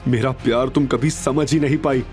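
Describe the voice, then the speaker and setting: A man's voice: mid-range voice, fairly even intonation, moderate pace, a little mellow, soft background music, natural voice. A man playing a wounded, proud young husband in an emotional, emphatic audio drama style. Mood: hurt, defiant. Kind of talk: Hindi audio drama acting.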